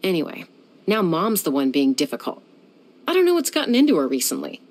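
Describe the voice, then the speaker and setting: A woman's voice: fairly low pitch, wildly swooping pitch, brisk, darkish tone. A young woman voicing a self-assured, dryly teasing gunslinger who flies and settles disputes, in video game voice lines. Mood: cool. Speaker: game character.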